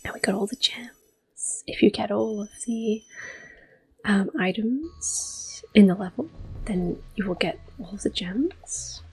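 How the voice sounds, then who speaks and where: A woman giving soft-spoken, often whispered ASMR commentary on a game, gentle and breathy. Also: medium pitch, low-quality recording. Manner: gentle, unhurried. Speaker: ASMR gameplay host.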